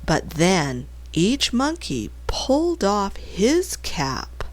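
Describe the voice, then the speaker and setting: Slow, very animated woman reading a storybook. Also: medium pitch. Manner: deliberate, dramatic emphasis. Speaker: storybook reader, teacher. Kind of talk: expressive children's story narration.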